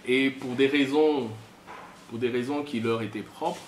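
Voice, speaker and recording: Male, Congolese accent, medium-pitched, off-the-cuff didactic explainer who repeats phrases; echoey room sound. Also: steady tone, measured pace. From DR Congo, Kasai region. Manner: didactic, step-by-step with repetition. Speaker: commentator on history. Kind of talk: unscripted explanatory talk. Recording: echoey room, faint background noise.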